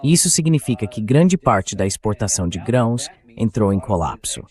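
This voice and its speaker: A man's voice: fairly low pitch, big pitch swings, moderate pace, a little mellow. A man as the translated voice of an interview host, talking through political commentary in a conversational, wordy way.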